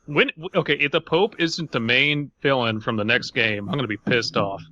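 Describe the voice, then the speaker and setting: Bright, forceful man, band-limited audio. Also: medium pitch, normal speed, dry recording, muffled recording. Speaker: podcast co-host. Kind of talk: casual group chat about video games.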